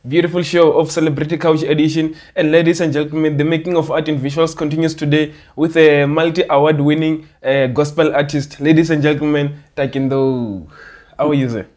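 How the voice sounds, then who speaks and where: Hypey South African podcast host. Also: male voice, a little high, fairly even intonation, brisk, a little mellow. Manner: showy, hypey welcomes, playful teasing. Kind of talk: casual interview chat.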